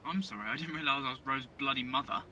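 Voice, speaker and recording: A man's voice: medium pitch, quick pace, bright, forceful tone, dull audio, slight background noise. A man playing a blunt, suspicious, sarcastic young man in an audio drama. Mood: sarcastic, wary. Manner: dry sarcasm, British slang. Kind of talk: dystopian audio drama acting.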